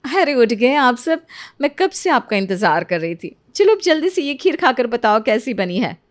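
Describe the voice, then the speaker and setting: A woman's voice: a little high, sing-song, normal speed. A woman voicing a sly young second wife who sweet-talks the family in a coaxing, teasing way.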